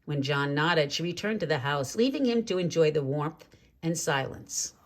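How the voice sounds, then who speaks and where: A woman reading a children's story aloud in an expressive, measured way, drawing out key words for drama. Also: deep voice. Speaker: storybook reader.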